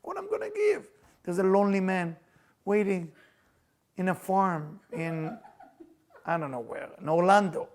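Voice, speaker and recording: A middle-aged man with an Israeli accent, hosting a casual chat and telling personal stories in a playful, animated way, often laughing as he talks. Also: high voice, measured pace, pauses often. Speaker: spiritual teacher and podcast host.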